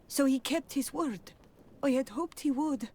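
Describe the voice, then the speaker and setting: A woman's voice: sits high, a little slow, mellow. A woman playing a proud, grateful freed captive in a video game, speaking in a solemn, heartfelt way. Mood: grateful, determined. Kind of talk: scripted video game acting.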